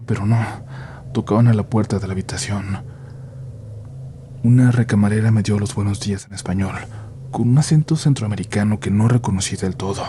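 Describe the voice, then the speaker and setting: A man narrating a first-person horror story in a hushed, measured way, sometimes dropping to a near-whisper. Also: lowish voice, slight background noise.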